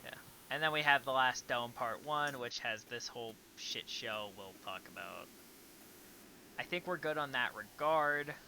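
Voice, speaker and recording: A man's voice: mid-range voice, a little slow, noisy background. A man hosting a livestream, chatting casually and bluntly with plenty of swearing. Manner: profane, blunt, exclamatory. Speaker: livestream host. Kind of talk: casual reaction stream chat with read-aloud passages.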